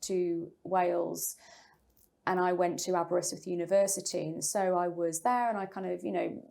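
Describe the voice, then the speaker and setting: A woman's voice: fairly low pitch, fairly even intonation, moderate pace, gravelly, mellow. An English woman, a novelist, talking through her writing in an interview, thoughtful and full of small fillers and restarts. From England. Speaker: novelist guest. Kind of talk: interview conversation.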